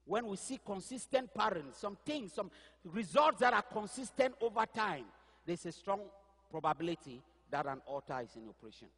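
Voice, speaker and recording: Man in his fifties, non-native English speaker, animated pastor, preaching, high voice, reverberant church hall. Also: moderate pace, husky. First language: not English. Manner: animated, gesturing preacher who searches aloud for words. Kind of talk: sermon. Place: stage of a large church hall. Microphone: headset mic.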